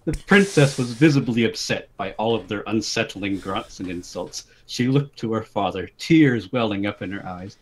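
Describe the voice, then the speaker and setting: A man's voice: lowish voice, animated, moderate pace, few pauses, slight background noise, low-quality recording. A man giving a dramatic reading of a comic fantasy story as its narrator. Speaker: story reader.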